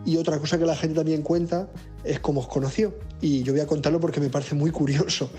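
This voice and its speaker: A man's voice: a little high, fairly even intonation, quick pace, dark tone. A man with an accent from Spain leaving an informal, rambling voice message as a listener. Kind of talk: casual voice message. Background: music.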